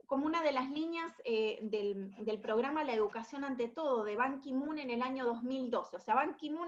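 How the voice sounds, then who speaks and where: A woman in her thirties with an Argentine Spanish accent delivers an explanatory presentation, her voice sitting high. She talks in a searching way, often stopping to check in with her listeners and starting her phrases again. The recording sounds dull and slightly lo-fi. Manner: explanatory, frequent tag questions and restarts. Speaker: UNESCO education specialist. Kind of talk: presentation talk.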